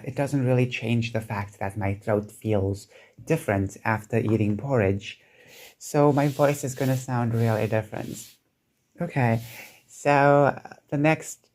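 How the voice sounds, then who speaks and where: A man chatting casually in a solo vlog, hesitant with lots of restarts and fillers, his throat sounding croaky. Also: mid-range voice, a little mellow. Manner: hesitant, many restarts and ums, enthusiastic. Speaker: vlogger.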